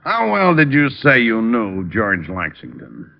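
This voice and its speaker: An actor playing a stolid police lieutenant in an old radio crime drama, blunt and deadpan with an official manner. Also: male voice, fairly low pitch, unhurried, creaky, full character voice. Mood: dry, casual. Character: dry, stolid male police homicide lieutenant. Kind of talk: 1940s-50s radio crime drama acting. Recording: muffled old radio broadcast.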